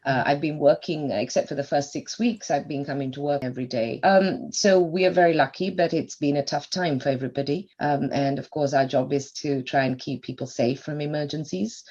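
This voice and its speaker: A woman's voice: deep voice, fairly even intonation, brisk, few pauses, a little mellow, slightly rough, dull audio. A woman in her fifties from Sri Lanka, a doctor guest on a podcast, reflectively telling her life story at length. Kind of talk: podcast interview.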